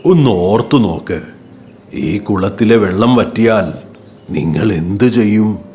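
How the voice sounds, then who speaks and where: Wise cartoon tortoise, male actor: low, very unhurried voice, patient and gently admonishing. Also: expressive, faint music behind. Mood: patient warning. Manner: measured, admonishing. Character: wise, patient tortoise giving moral advice. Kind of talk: children's cartoon story voice acting.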